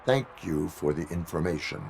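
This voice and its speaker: Very deep, stoic cyborg cop. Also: male voice, expressive, gravelly, darkish tone, a little hiss or hum. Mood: formal, firm. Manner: deliberate, formal, clipped, robotic delivery. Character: stoic cyborg policeman, stiff, grave and literal. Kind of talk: video game voice acting.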